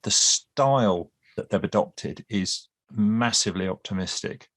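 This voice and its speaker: A man's voice: on the low side, measured pace. A man giving an expert, explanatory take in a casual podcast chat, with frequent restarts and dry humour. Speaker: guest expert on Cold War British Army.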